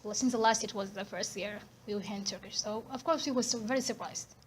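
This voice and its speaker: A woman's voice: mid-range voice, fast, a little hiss or hum. A young woman student speaking emphatically and repeating herself. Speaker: student interviewee.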